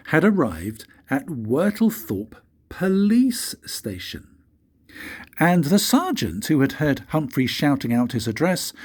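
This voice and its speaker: Older man, children's storybook reading, very animated intonation, unhurried pace, dramatic pauses. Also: a little high, breathy edge. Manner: expressive, deliberate, with dramatic pauses. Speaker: grandfather reading stories for his grandchildren.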